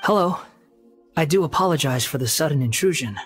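A youthful wanderer, composed and guarded. He speaks formally and with measured reserve, in video game drama style, with faint music behind him. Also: fairly high pitch, animated, fairly bright tone. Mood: polite. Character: young male wanderer with lost past, polite, composed, guarded.

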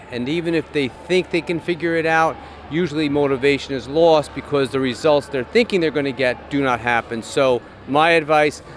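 A man's voice: medium pitch, a little hiss or hum. A man in his forties hosting an upbeat, casual interview at a fitness expo, speaking into a handheld mic with frequent restarts. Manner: upbeat, enthusiastic, with many restarts. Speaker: host. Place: large indoor expo hall, in front of a backdrop. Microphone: handheld microphone.

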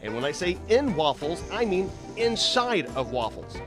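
A man's voice: sits high, sing-song, moderate pace, over music. A man in his forties hosting a playful home cooking segment in his kitchen, chatty with joking asides, filmed by a counter camera. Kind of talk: upbeat home cooking demo. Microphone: wide camera on the counter.

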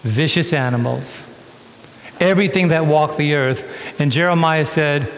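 A man's voice: on the high side, measured pace. A man preaching a sermon as a pastor, emphatic, stretching key words and putting questions to his listeners. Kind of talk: sermon with rhetorical questions to congregation. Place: church hall, on stage. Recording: reverberant church hall sound.

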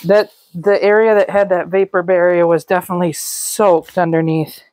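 A young woman casually narrating a walkthrough of a camper she is fixing up, relaxed and chatty with frequent ums. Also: fairly low pitch, moderate pace, poor audio. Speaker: vlog host. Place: inside a gutted camper trailer.

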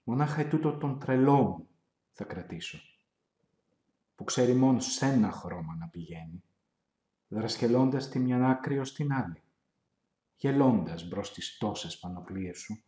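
A man speaking in a measured poetry-reading style, deliberately, with pauses between short phrases. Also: fairly low pitch, dark tone. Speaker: poetry reader. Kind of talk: measured poetry recitation and introduction. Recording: roomy, echoing voice recording.

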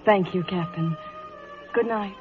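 A woman playing a polite, gentle army lady in an old radio western. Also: mid-range voice, takes it slowly, faint music behind, lightly acted. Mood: shy, apologetic. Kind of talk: old-time radio western drama acting. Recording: muffled old radio broadcast recording.

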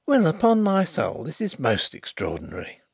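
A man's voice: high-pitched, wildly swooping pitch, measured pace, darkish tone, dry recording, pitched above the performer's own voice, heavily acted. A man voicing a complacent, pompous middle-aged private inquiry agent in a story reading.

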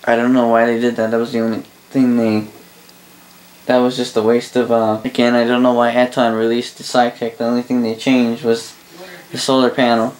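Guy doing a casual gear review, pretty monotone, rambles and backtracks a lot. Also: medium pitch, low-quality recording. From Canada. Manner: rambling, halting, self-correcting. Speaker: host of a radio gear review channel. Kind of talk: casual unscripted product review. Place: indoor room full of radio gear.

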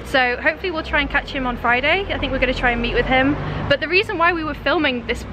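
Loud cheerful woman, slightly high voice, handheld camera, street noise. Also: fast talker. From England. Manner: loud, bubbly and upbeat. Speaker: vlogger and teacher. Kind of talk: casual vlog chat. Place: indoor office and city street. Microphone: handheld camera at arm's length.

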